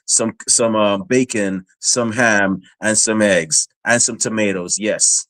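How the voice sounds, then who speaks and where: A man's voice: on the low side, slow, a bit bright, no room sound. A man from Guyana hosting a casual podcast interview, hyped in his introductions and rambling with many fillers. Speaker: podcast host.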